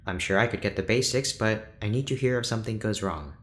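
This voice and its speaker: A man playing a reserved, principled neurologist in an audio drama, speaking in a measured, formal, deliberate way with weary restraint. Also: on the low side, a bit bright, close to an everyday voice. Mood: reluctant. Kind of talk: naturalistic audio drama acting.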